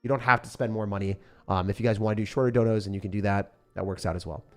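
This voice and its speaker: A man's voice: on the low side, steady tone, rapid-fire, soft background music. Laid-back young male streamer, slangy. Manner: joking, reads viewer chat aloud; casual slang, says bro and man. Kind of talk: live-stream gameplay chat.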